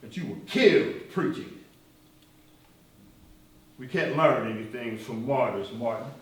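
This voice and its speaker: An actor playing a fiery, defiant, sardonic activist leader on stage, speaking in emphatic, mocking, oratorical phrases. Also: male voice, mid-range voice, measured pace, close to an everyday voice. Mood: grave, impassioned. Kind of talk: theatrical stage acting, rhetorical speeches. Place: stage performance, recorded from far off. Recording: distant, very echoey stage recording.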